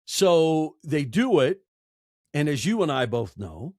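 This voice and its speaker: Guy guest on a podcast, really animated and emphatic, dry recording, talks like he's giving advice. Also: on the high side, takes it slowly, no room sound. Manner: emphatic, repeats phrases for effect. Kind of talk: conversational advice in an interview.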